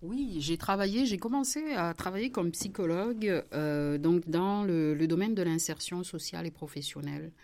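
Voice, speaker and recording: A middle-aged woman from France, a member of parliament talking about her life in an interview, pausing often with fillers and restarts. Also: lowish voice. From southern France. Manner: hesitant, many fillers and restarts, warm laughs. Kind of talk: relaxed personal interview.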